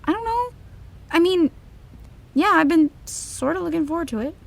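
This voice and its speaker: An actress playing a groggy, placating young best friend in an audio drama, casual and hesitant. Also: female voice, extremely high pitch, lively intonation, moderate pace, vocal fry, heavily acted. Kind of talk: naturalistic audio drama acting.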